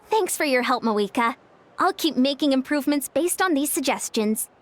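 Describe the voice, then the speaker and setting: A young woman sports coach in a video game. Her voice is high and very animated, with an earnest, friendly, peppy delivery. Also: moderate pace.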